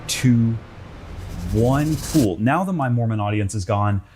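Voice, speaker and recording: A man's voice: mid-range voice, unhurried, darkish tone. A male YouTuber delivering scripted commentary to his audience, recorded in an untreated, echoey room. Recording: echoey room, faint background noise.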